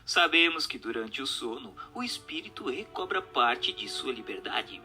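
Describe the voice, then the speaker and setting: Bright, forceful male narrator. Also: mid-range voice, very animated, moderate pace, soft background music. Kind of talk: formal scripted narration of a book.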